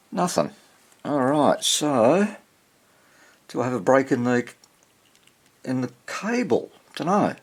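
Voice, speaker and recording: Male hobbyist presenter for a workbench repair video: unhurried, lively rise and fall in pitch, talking haltingly to himself while working. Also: vocal fry. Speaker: hobbyist radio restorer, presenter. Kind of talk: casual hands-on repair narration, thinking aloud.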